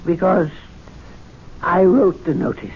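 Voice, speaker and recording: High-voiced, flustered, stubborn underling. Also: male voice. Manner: flustered, halting, insistent. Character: nervous, aggrieved, stubborn club underling, a man. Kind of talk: old-time radio drama acting. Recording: dull, noisy old radio broadcast recording.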